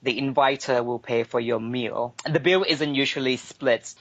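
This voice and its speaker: A man's voice: mid-range voice, moderate pace. A man from Thailand, giving casual, hesitant interview answers as a podcast guest, thinking aloud as he goes. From Bangkok, Thailand. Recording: dull lo-fi podcast audio.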